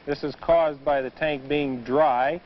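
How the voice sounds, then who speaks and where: A man's voice: on the high side, steady tone, slow. A man explaining things in a matter-of-fact, instructive way as a maintenance expert in a training film. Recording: muffled noisy vintage training film soundtrack.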